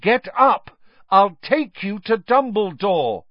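An anxious teenage schoolboy, played by a male reader, loud and insistent. The voice sits well above the reader's own, with a husky grain. The sound is dry and a bit dull. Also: high-pitched, big pitch swings, unhurried, no echo, voice pushed up, full character voice. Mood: urgent. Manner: loud, clear, urgent. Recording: dull, slightly lo-fi recording.

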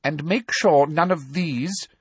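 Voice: mid-range voice, slow, slightly rough, no echo, pitched above the performer's own voice. A reader playing a harsh, imperious middle-aged official woman. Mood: sharp commanding. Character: middle-aged female official, domineering, falsely sweet. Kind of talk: audiobook acting.

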